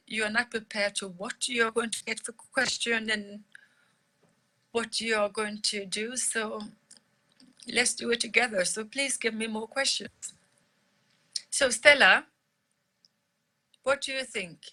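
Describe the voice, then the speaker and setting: Bright, forceful woman, Liberian-British-Swedish English accent, middle-aged, loose live Q&A talk with frequent fillers. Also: fairly high pitch, low-quality recording. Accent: mix of Liberian English, British English and Swedish English. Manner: conversational, many fillers and restarts. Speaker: company CEO answering audience questions. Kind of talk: live-stream Q&A.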